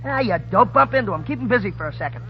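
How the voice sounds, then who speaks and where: Brash teenage gang leader, rapid-fire slang, swooping delivery, bossy and wisecracking, muffled 1930s radio drama. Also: male voice, high-pitched, expressive. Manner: slangy, barking city-kid talk.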